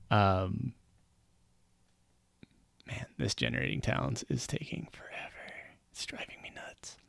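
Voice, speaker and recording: Gravelly, dry-sounding man rambling on stream. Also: medium pitch, huge pitch swings, slow pace, long pauses, darkish tone, no echo, whispered. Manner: rambling, wry and self-mocking, often trails off with um and uh. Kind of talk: casual chat on a game stream.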